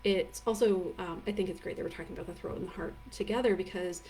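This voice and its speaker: A woman's voice: lowish voice, fairly even intonation, fast talker, slight background noise. Chatty woman teaching, a bit far off. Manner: chatty and warm-hearted, many fillers like "um" and "like"; reads viewer comments aloud and answers them. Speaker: energy healing teacher. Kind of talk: live online mini training, casual teaching. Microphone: live video stream.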